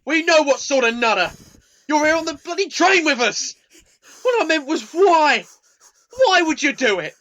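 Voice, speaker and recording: Woman acting high-voiced, furious, defiant train passenger. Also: lively intonation, normal speed, a bit bright. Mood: outraged. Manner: combative, sarcastic. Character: angry, headstrong stranded train passenger, defiant and scornful. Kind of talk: amateur radio play acting.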